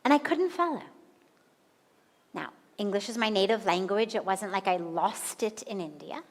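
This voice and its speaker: An American woman in her fifties, a spiritual teacher giving a slow, deliberate satsang talk with emphatic repetition. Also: medium pitch, expressive, in a large hall. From United States. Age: 50s.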